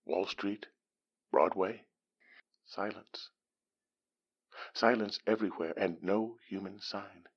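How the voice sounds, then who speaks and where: An actor playing a haunted, grieving male survivor of a disaster, speaking in a halting, theatrical, poetic way. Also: on the low side, steady tone, breathy edge, highs cut off, heavily acted. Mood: haunted awe. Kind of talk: dramatic monologue in audio drama.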